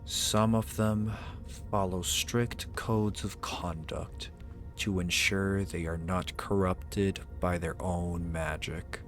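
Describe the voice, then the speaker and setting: Very slow, deep ancient dragon played by a man. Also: fairly even intonation. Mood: intrigued, approving. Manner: deliberate, archaic formal phrasing, imperious. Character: ancient, proud male dragon summoned as a familiar. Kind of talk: ASMR roleplay, slow theatrical monologue. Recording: music behind the voice. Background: music.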